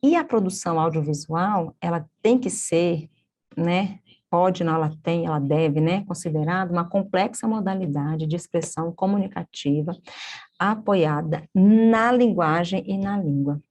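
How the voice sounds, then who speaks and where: A woman's voice: on the low side, moderate pace, a little mellow. A woman with a Brazilian Portuguese accent, an instructor teaching an online course, explaining step by step, often checking in with tag questions and correcting herself mid-sentence.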